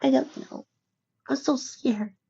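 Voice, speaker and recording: A man's voice: extremely high pitch, steady tone, slow, vocal fry, mellow, breathy edge, highs cut off, voice pushed up, exaggerated voice. A man voicing a small, shy, lonely girl pony in a timid, hesitant murmur for a story. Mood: scared, tearful.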